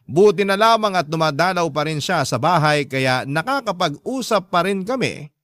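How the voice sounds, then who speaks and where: High-voiced, plaintive youngest son. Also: male voice, expressive, moderate pace, a bit bright, dry recording, studio quality. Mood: earnest, wistful. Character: aggrieved youngest son recounting his hard life. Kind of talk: first-person radio drama narration of a letter.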